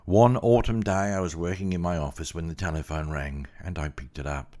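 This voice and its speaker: Man, low pitch, measured storytelling narration. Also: fairly even intonation, warm tone. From Australia. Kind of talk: first-person story narration.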